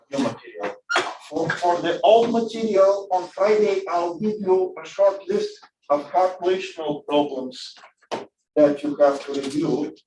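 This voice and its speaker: A man from Bulgaria lecturing a class on physics, his voice a little high for a man. He talks haltingly, full of fillers and restarts. He is heard from across an echoey classroom with a faint hiss behind him. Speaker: physics teacher. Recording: echoey classroom, distant mic.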